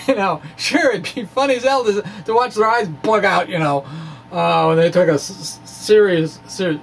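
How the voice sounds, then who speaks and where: A middle-aged man presents like a science video blogger, theatrical and folksy. His delivery mixes dramatic reading with jokey, informal asides. He is recorded on a microphone in a small room, with some background noise. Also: high voice. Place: small indoor room with bookshelves. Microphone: microphone on a stand in front of him.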